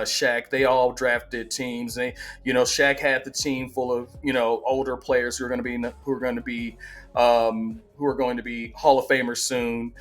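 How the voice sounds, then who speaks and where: Emphatic man in his forties. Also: medium pitch. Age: 40s. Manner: conversational, emphatic, repeats himself, animated. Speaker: sports channel host. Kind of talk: casual opinion monologue.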